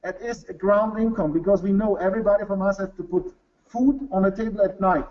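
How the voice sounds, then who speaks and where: A man from Germany, speaking English with a German accent, gives an earnest webinar business presentation in halting non-native English full of restarts. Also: high-pitched, highs cut off. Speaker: company executive presenting to a webinar.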